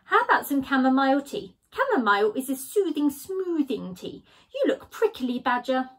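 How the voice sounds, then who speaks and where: A woman's voice: high-pitched, very animated, moderate pace. A woman voicing an earnest, fussy male skunk who chatters anxiously. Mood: worried. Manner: worried, chattering, insistent.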